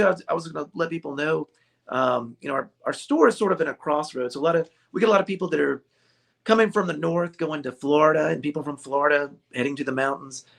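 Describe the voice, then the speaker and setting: A man's voice: fairly high pitch, vocal fry, a bit bright. A man, a ukulele shop owner being interviewed, chatting casually and joking with frequent laughter. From United States. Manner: jokey, laughs often. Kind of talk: casual chat.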